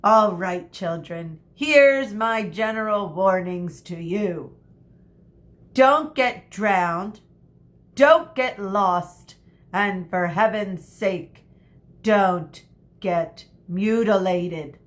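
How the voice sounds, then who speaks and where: Lady reading as a crabby bossy teacher woman, slow barked orders, storybook style. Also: medium pitch. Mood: stern warning. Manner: barking, scolding, drawn-out emphatic commands. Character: snappish, bossy, weary woman schoolteacher.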